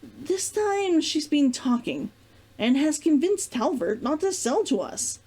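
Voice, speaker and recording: A woman's voice: high voice, very animated, slow, warm tone, voice pushed up, exaggerated voice, low-quality recording. A woman voicing a wry young woman speaking informally to an old flame in a storybook reading. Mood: exasperated.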